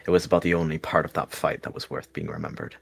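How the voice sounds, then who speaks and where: A man's voice: low voice, little pitch movement, fast, a bit bright, faint music behind. A man voicing a stern, disdainful male warrior cat mentor, scornful and demanding, in a roleplay story. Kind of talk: roleplay story with self-narrated actions.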